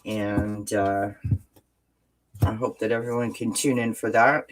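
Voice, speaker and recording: A woman hosting a casual livestream from home with a stuffed-up nose, greeting viewers in the chat by name with pet names and often pausing on filler words. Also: rumbling low, steady tone. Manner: frequent filler words and restarts. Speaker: livestream host. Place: indoors at home, lights off, sunny window behind.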